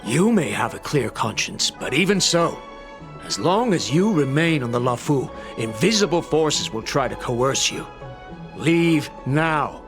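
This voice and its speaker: A stern, proud official from a video game, with a lively, swooping delivery. He is formal, pointed and admonishing, with clear music underneath. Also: on the high side, unhurried. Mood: stern warning. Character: proud, loyal, stern male commission official. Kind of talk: English game voice acting, formal dialogue.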